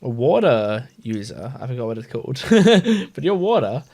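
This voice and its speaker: A man's voice: medium pitch, very animated, normal speed, darkish tone. A man giving informal gameplay reaction commentary, musing aloud and swearing now and then. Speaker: gaming video host.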